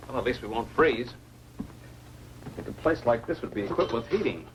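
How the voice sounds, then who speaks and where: An actor playing a practical member of a stranded research team in a 1950s science fiction film. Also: male voice, mid-range voice, lively intonation, brisk, background noise, natural voice. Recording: old film soundtrack, loud music over dialogue.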